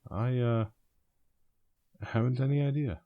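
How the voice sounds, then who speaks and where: A man's voice: mid-range voice, measured pace, lo-fi sound, close to an everyday voice. A man playing an easygoing, kindly middle-aged husband and uncle in an old-time radio drama. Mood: guarded. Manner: casual, genial.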